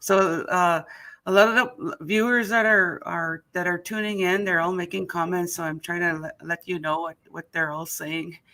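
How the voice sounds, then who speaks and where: A woman's voice: fairly low pitch, fairly even intonation, moderate pace, pushed and bright. A woman hosting a live online interview over a video call, hesitant, reading out viewer comments between questions. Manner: hesitant, reads out viewer comments, many fillers. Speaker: host.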